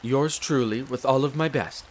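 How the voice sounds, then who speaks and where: An actor voicing a devoted, polite wolf butler who serves as head servant, in a scripted roleplay drama. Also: male voice, medium pitch, normal speed, natural voice. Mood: reading aloud, earnest. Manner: polite, formal, deferential. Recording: rain noise over the voice. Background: steady rain.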